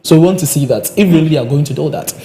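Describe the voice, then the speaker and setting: A man co-hosting a live broadcast, talking in a measured way with frequent hesitations. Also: on the high side, dark tone. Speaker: radio talk show co-host.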